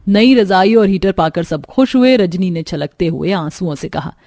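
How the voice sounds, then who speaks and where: A woman's voice: on the low side, normal speed, mellow, faint music behind. A woman reading scripted storytelling narration for a cartoon.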